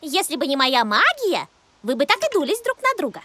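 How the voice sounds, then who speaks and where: A woman's voice: high voice, wildly swooping pitch, a little fast, bright, forceful tone, dry recording, heavily acted. A woman voicing a sassy, sulky little girl backpack creature in a cartoon, with a bossy, grumbling manner. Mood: smug. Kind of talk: animated cartoon acting. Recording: music behind the voice.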